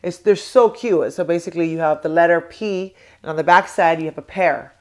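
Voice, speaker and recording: A woman's voice: lowish voice, fairly quick, darkish tone. A young American woman with a cold and a sore throat, chatting casually to the camera about toys, rambling with lots of ums and restarts. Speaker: toy review vlogger.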